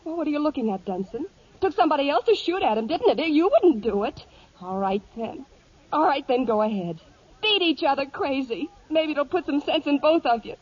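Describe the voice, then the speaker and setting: A woman's voice: high-pitched, animated, a little fast. An actress playing an emotional, fiery young frontier woman in a 1940s radio western, speaking emphatically. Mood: exasperated scolding. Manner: emphatic, scolding, repeats herself. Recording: muffled 1940s radio broadcast.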